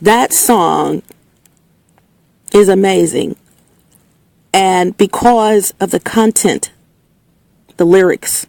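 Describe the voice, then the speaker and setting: A woman hosting her own opinion segment, talking in an emphatic, preachy way and often restarting and repeating herself. Her voice is slightly hoarse and lower than usual. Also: darkish tone, dry recording. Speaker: host of a spoken opinion segment. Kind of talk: casual opinionated monologue. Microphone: speaking into a recording setup with a level meter.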